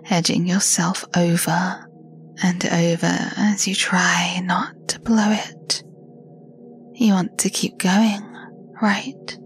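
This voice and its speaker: Woman, slow teasing whisperer for intimate roleplay audio, close-miked, breathy, with faint music behind. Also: mid-range voice. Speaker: erotic audio performer. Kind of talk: scripted intimate roleplay monologue.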